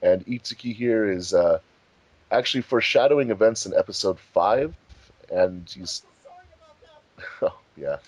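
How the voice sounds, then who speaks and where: A man's voice: on the low side, expressive, measured pace, long pauses, darkish tone, no echo. A man hosting a fandub commentary track, chatting casually about how the episode was made. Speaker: fandub producer and commentary host.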